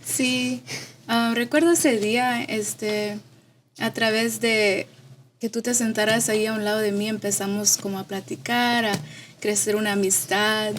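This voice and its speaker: A woman in her twenties from Austin, Texas, giving casual personal testimony as a guest, halting and slipping in English words. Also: mid-range voice, steady tone, a little hiss or hum. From Austin, Texas, of Mexican parents. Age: 20s. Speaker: podcast guest.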